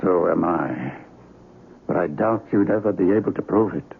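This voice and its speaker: A man playing a grave, commanding doctor and exorcist in a radio horror drama, solemn and measured. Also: low-pitched, vocal fry, husky, exaggerated voice. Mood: subdued, grave. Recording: noisy phone-like radio recording.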